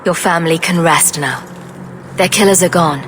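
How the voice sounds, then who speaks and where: A woman as a low-voiced, self-assured adventurer. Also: moderate pace, a bit bright, natural voice. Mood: composed, direct. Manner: direct, composed, probing. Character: self-assured, direct female adventurer for hire. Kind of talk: video game dialogue.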